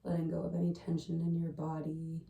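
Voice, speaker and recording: A woman guides a meditation slowly and soothingly, with a very mellow, dark voice that barely moves in pitch. Her delivery is gentle and hushed, with long pauses. She sounds slightly distant in an echoey room. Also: completely flat delivery, vocal fry. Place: indoors at a family home.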